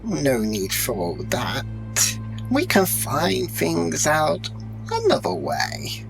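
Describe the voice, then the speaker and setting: Guy doing a wry confident fantasy warrior, audio drama, really animated pitch, self-assured. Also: slow, gravelly, over music, full character voice, low-quality recording. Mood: composed.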